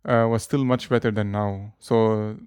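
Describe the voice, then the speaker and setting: A man's voice: lowish voice, fairly even intonation, a little slow, darkish tone, smooth, dry recording. Guy in his 30s, chatty interview guest, kinda hesitant, laughs a lot, dry close sound. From Lebanon. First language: Arabic and French. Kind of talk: relaxed conversational interview.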